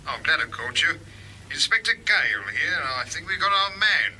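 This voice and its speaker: An actor playing a brisk, authoritative police inspector in a radio drama, speaking in an official manner. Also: male voice, fairly high pitch, expressive, sharp tone, a little hiss or hum, rough recording. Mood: urgent, official.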